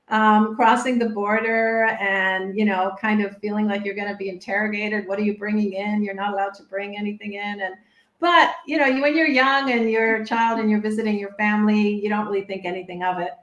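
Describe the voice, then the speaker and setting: A middle-aged woman from Canada, raised speaking German too, talking casually as an interview guest, lively and laughing easily. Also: a little fast. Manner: lively, laughs often, rising and falling pitch. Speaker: guest, immigration lawyer. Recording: echoey room.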